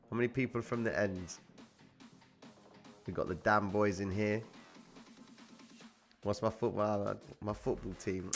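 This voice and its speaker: A young man from Cyprus, casually hosting a trading livestream and answering viewer comments in slangy, laid-back chat. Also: on the low side.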